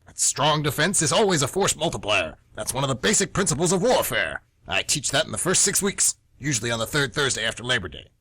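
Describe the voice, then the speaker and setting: Man acting a frantic male teacher, paranoid, military-minded, condescending, lively pitch, dry sound. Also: fast, few pauses, slightly rough, no room sound, exaggerated voice. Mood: paranoid, urgent. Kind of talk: amateur radio comedy acting.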